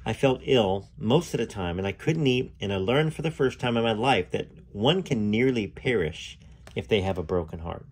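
A man hosting and reading a memoir aloud in an expressive storytelling style, with dramatic phrasing and casual asides. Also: lowish voice, normal speed, breathy edge.

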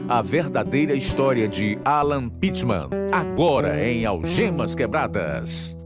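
A man's voice: medium pitch, very animated, measured pace. A man with a Brazilian accent, announcing and narrating a scripted dramatic radio programme. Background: guitar music.

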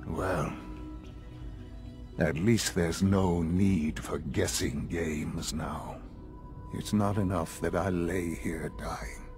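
An actor playing a bitter, dying older male scientist in a video game, weary and disdainful in a measured way. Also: low voice, fairly even intonation, darkish tone, soft background music. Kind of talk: video game dialogue acting.

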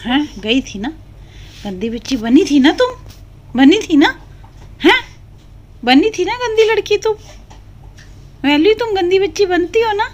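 A woman's voice: extremely high pitch, sing-song, unhurried, long pauses. Doting woman baby-talking to her parrot. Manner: doting, sing-song coaxing. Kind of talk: baby talk to pets. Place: living room at home, indoors. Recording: home phone video with background noise.

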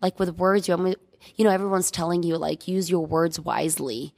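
A woman's voice: lowish voice, fairly even intonation, a little fast, creaky, a little mellow, no room sound. A woman from Bosnia, a painter, talking reflectively in a casual interview and hunting for words with many fillers. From Bosnia and Herzegovina.

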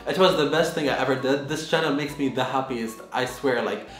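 A young man in his twenties from Lebanon, talking casually to the camera in a lively, chatty way with lots of fillers. He speaks from his bedroom. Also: medium pitch, brisk. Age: 20s. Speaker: vlogger. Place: bedroom, indoors. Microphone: camera in front, medium close. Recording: echoey room with faint music.

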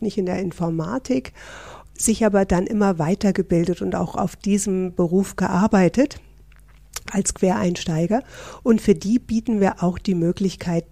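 A woman's voice: medium pitch, creaky, warm tone, dry recording. A middle-aged woman from northern Germany, a professor, explaining things in a friendly interview with some fillers. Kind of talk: interview answers.

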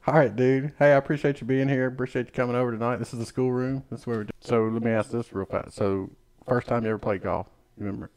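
A man's voice: mid-range voice, fairly even intonation, fast, a little mellow. A man hosting a casual podcast chat in an easygoing, teasing way. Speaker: podcast host. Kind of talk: casual chat about golf.

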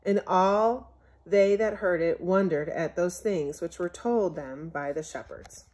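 A woman reading a Bible story aloud from a picture book in measured, scriptural phrasing, as a Sunday school storyteller. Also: lowish voice, a little mellow.

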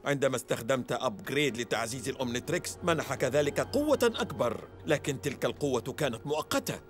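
A man voicing an earnest, knowledgeable scientist ally in a cartoon, explaining things in an animated way. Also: mid-range voice, fast, soft background music, natural voice. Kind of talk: dubbed cartoon acting.

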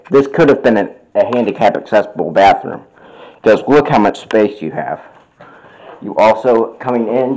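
Slow-talking young man giving a room tour. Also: on the low side, darkish tone, a little hiss or hum, rough recording. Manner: plain, unhurried, with fillers and restarts. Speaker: vlogger. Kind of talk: casual hotel room tour. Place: hotel suite, indoors. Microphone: handheld phone camera.

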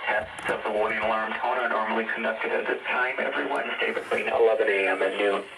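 A man's voice: on the low side, steady tone, quick pace, vocal fry, a loud crowd. A man's voice reading a formal scripted announcement through a weather radio speaker. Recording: very noisy radio speakers, distant mic.

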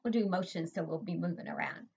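A woman's voice: fairly low pitch, fast, heavy background noise. Older woman teacher, sing-song webcam lesson. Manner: sing-song, asks children questions and praises answers. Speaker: preschool teacher. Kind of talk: online preschool lesson and story reading. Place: home room, indoors. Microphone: webcam laptop mic. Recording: dull lo-fi webcam audio.

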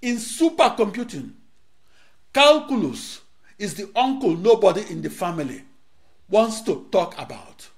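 A middle-aged man from Nigeria, a native Igbo speaker, with a notably high-pitched voice. He delivers a rehearsed lecture in short, declaimed phrases broken by dramatic pauses, recorded close on a lapel mic in a studio. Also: big pitch swings.

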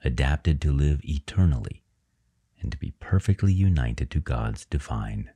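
A man narrating a guided religious meditation in a measured, reverent way with unhurried pauses. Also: extremely low pitch, expressive, mellow, slightly rough.

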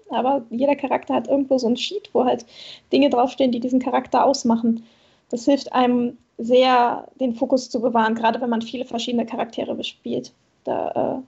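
A woman's voice: high voice, steady tone, fairly quick, gravelly, a little mellow, slightly rough. A woman taking part in a group stream over a video call, chatting casually. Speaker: stream participant, tabletop player. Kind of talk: casual chat. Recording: slightly dull voice-call sound.

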